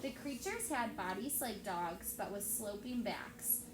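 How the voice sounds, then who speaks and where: A woman teacher reading a story aloud to children in an expressive storytelling style. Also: mid-range voice, unhurried. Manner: expressive, acts out dialogue. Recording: echoey room with steady background noise.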